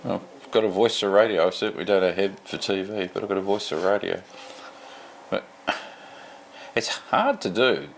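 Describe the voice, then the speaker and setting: A man's voice: sits low, expressive, normal speed, gravelly, pauses often, a little hiss or hum. A middle-aged man with an Australian accent, tired and rambling casually to camera in an unscripted home vlog. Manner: rambling, laid-back, self-deprecating. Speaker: vlogger. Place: home at night.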